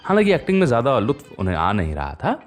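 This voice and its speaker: A man hosting a radio show, telling stories in a chatty, conversational way with pauses and rhetorical tags. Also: mid-range voice, huge pitch swings, faint music behind. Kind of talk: conversational radio storytelling about old film music.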